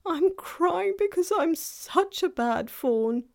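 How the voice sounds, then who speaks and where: A woman voicing a gentle, sorrowful male faun who sobs as he speaks. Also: extremely high pitch, expressive, slow pace, gravelly, pitched above the performer's own voice, heavily acted. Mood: sobbing. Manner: gloomy, sobbing.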